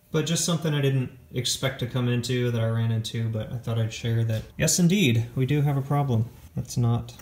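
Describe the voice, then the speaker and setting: A man in his forties talks through hands-on repair work in a workshop. His voice sits at a medium pitch. He is plainspoken and matter-of-fact, with a self-deprecating streak. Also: darkish tone. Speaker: home repair video host. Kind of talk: casual hands-on repair explanation. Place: indoor home workshop.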